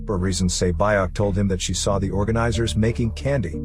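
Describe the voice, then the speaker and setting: A man with a fairly low voice delivers scripted recap narration. He tells a story as a narrator, and music plays clearly underneath his voice throughout. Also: steady tone, a little fast. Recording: music running behind the voice. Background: background music.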